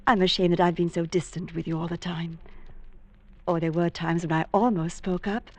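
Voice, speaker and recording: An actress playing a lonely, coy bookshop spinster in an old-time radio drama, gushing politely. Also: female voice, on the low side, steady tone, moderate pace, lightly acted. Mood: wistful, confiding.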